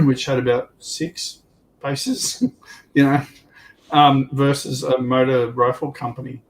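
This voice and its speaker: A man's voice: mid-range voice, fairly even intonation, slow, slight background noise, poor audio. A man on a livestream panel, chatting casually and telling anecdotes in a loose, informal way. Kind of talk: casual chat.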